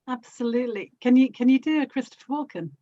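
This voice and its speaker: A woman's voice: sits high, animated, fast talker, dark tone. A British woman chatting casually as a podcast guest on slightly dull, band-limited audio. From United Kingdom. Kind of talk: casual conversation.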